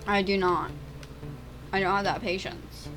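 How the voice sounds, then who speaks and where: A teenage girl chatting and stammering through gameplay commentary on her own channel, with a voice she calls a little deeper and rougher over time. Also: fairly even intonation, a little slow, music in the background, low-quality recording. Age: teens. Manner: chatty, stammering, restarts words. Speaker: gaming YouTuber.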